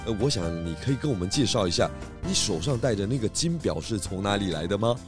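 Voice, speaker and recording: Guy doing a clever confident detective, lowish voice, kids mystery radio play, explains everything carefully. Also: expressive, quick pace, loud music behind the voice, lightly acted. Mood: calm, probing. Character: confident, clever male detective unmasking culprits. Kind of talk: children's detective radio drama acting.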